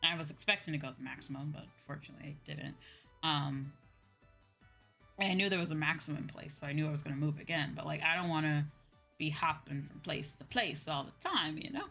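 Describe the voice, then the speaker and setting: A woman's voice: sits low, fairly quick, creaky, bright, forceful tone. A young woman, a livestream host chatting casually in an informal, rambling way and repeating phrases for emphasis. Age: young adult. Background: background music.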